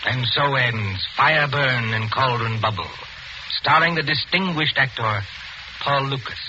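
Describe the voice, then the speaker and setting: A man's voice: medium pitch, unhurried, noisy background. A man announcing and narrating in a formal 1940s radio style. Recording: muffled vintage radio recording. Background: loud music.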